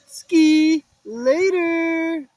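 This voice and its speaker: A man voicing a panicky, hungry young male slacker who whines and yelps in a high, excitable voice. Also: unhurried, no room sound. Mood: eager. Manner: high yelping cries, whiny and excitable.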